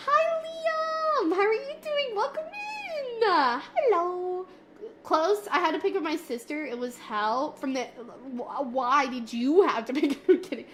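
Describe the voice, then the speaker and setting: A high-voiced woman streaming live, nasal and a little stuffy from a cold. She talks at an unhurried pace, playful and giggly with her chat. Faint noise hums under her desk mic. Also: fairly bright tone. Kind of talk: casual livestream chat with viewers. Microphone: desk mic, moving closer and farther.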